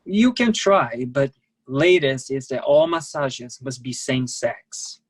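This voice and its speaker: A man's voice: medium pitch, lively intonation, slow. Man acting a candid, resigned foreign masseur. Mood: resigned, explaining. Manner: clipped non-native grammar, matter-of-fact. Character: male immigrant massage therapist, candid, resigned, servile lover. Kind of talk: staged play reading.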